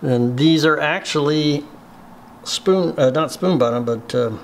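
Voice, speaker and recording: A middle-aged man giving a hands-on woodworking tutorial in a workshop, unhurried and plainspoken, with many ums and uhs. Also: fairly high pitch, vocal fry, a little hiss or hum. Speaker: woodworking instructor.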